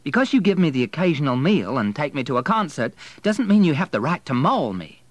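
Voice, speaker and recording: A man voicing a haughty young Southern lady, prim, brisk and condescending, in an audiobook novel. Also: medium pitch, sing-song, no echo, pitched above the performer's own voice, lightly acted. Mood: firm, indignant.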